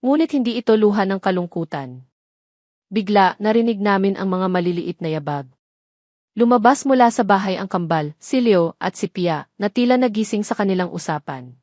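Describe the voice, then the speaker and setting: Female narrator, Filipino accent, medium pitch, for sentimental scripted romance audio stories, recorded very dry. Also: lively intonation, normal speed, a little mellow, dry recording.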